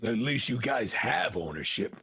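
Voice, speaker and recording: A man playing a wisecracking, down-on-his-luck new guard in an audio drama, self-deprecating, with a gravelly rasp. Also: medium pitch, big pitch swings, normal speed, bright, forceful tone, heavily acted.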